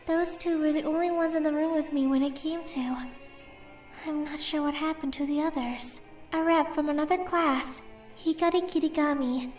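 A sweet, earnest schoolgirl played by a woman in a horror game dub. The voice is girlish and extremely high, easily startled yet kind. Music plays behind it. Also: darkish tone, roomy sound, lightly acted. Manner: earnest, halting when nervous.

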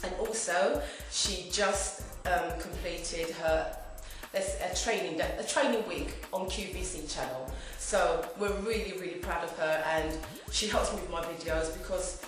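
Hoarse, chatty woman vlogging. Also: fairly low pitch, measured pace. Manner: chatty and rambling, lively gestures. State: hoarse from a throat infection. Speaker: wig review vlogger. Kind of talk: casual chat to camera. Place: home room indoors. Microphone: camera at mid distance. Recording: very echoey room with faint music.

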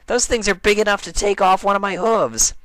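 A man's voice: high voice, a little fast, fairly bright tone, dry recording, voice pushed up, low-quality recording. A man voicing a brash, hot-tempered young female pegasus pony in a story reading, defensive and boastful. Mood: alarmed, indignant. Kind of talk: animated audiobook character voice.

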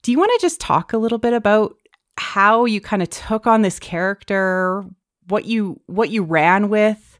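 Woman podcast host, lively pitch. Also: normal speed, no room sound, clean studio sound. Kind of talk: conversational interview.

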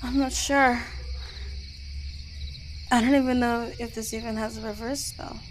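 A woman's voice: a little high, steady tone, unhurried, soft background music, lightly acted. A woman voicing a playful, doting goddess sorceress in an ASMR roleplay, cooing and teasing affectionately.

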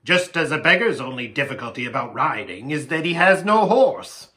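Storybook dwarf warrior played by a man: blunt, dry, sturdy, bright and forceful. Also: on the high side, very animated, normal speed. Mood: dry sarcasm.

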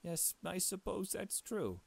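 A man puts on a grieving mother from a visual novel, lifted high above his usual pitch. She is soft-mannered and formal, with a mournful politeness in her delivery. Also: very animated, slow pace, vocal fry, slightly rough, exaggerated voice. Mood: gentle, sad.

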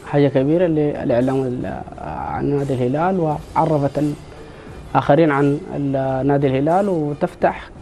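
A young man in his twenties with a Sudanese Arabic accent, a football fan and medical student, answering earnestly in a studio interview with frequent halting restarts. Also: fairly high pitch, dark tone, soft background music. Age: 20s.

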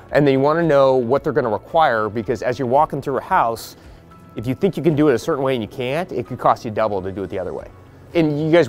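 A man's voice: medium pitch, darkish tone. A man, walking a group through a house he is flipping outdoors on a porch, talking bluntly and practically. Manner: blunt, practical, quoting others in quick asides. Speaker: real estate investor leading a property walkthrough. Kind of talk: casual explaining to a group on site.

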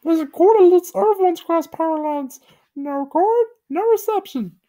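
A woman voicing an earnest, worried clay horse in a high, squeaky put-on voice. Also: a little slow, dark tone. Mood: urgent.

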